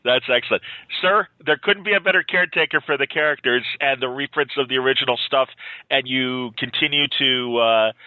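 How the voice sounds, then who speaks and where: Bright-voiced man hosting a podcast. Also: mid-range voice, no room sound, rough recording. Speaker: podcast host. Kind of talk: casual interview and show sign-off.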